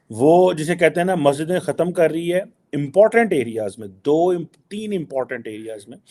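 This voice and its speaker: A man's voice: medium pitch, mellow. A man giving spoken political commentary, emphatic and rhetorical, sometimes switching into English.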